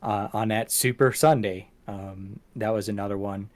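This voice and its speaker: A man's voice: fairly low pitch, fairly even intonation, moderate pace, a little mellow. A man hosting a casual podcast, talking through things in a rambling, self-deprecating way. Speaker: podcast host. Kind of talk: casual podcast chat, going through show stats.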